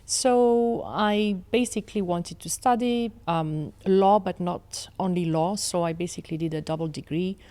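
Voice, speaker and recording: A woman in her forties with a French accent, a guest in an interview, speaking in a measured way with many fillers and restarts. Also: lowish voice, warm tone. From France. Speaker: law professor, guest. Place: studio set with armchairs. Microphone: desk mic on boom arm.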